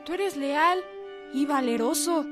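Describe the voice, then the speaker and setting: Slow, high-voiced soothing woman. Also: over loud music. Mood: soothing, earnest. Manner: soothing, earnest. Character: Lucecita, soothing, loyal female confidante of a revolutionary. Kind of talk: radio drama acting.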